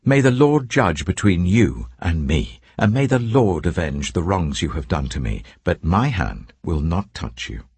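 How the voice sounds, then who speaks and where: A man's voice: deep voice, very animated, measured pace, few pauses, a little mellow, husky, dry recording, full character voice. A man voicing an earnest, wronged young warrior pleading with his king in an audiobook, declaiming with passion. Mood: impassioned pleading. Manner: declaiming, impassioned.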